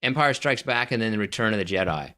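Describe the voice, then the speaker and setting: A man's voice: fairly low pitch, expressive, quick pace, pushed and bright. A man co-hosting a casual movie podcast, bantering in an opinionated, emphatic way and needling his co-host.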